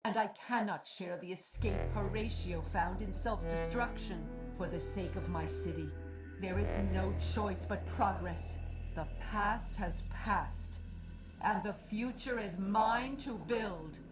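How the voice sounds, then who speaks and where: Woman playing a slow, imperious machine overlord. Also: medium pitch, close to an everyday voice. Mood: grandiose. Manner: grandiose, deliberate, commanding. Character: cold, imperious female machine overlord running a city. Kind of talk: dramatic video game voice acting. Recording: music behind the voice.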